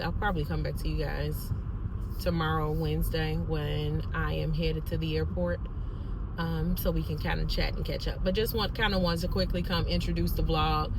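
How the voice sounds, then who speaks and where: A hoarse woman chats into her phone from the driver's seat. Her voice sits low and she rambles, filling gaps with ums. Heavy traffic noise rolls under everything. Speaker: vlogger. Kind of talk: casual vlog chat. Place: in a car. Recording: phone in a car, loud road noise. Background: loud traffic and car noise.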